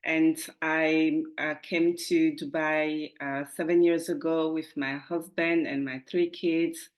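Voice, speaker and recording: Lower-voiced woman with a Francophone West African accent. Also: flat delivery, slow. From Guinea, West Africa. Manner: enthusiastic, often chuckles while talking. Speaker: podcast guest, entrepreneur. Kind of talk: conversational interview answers.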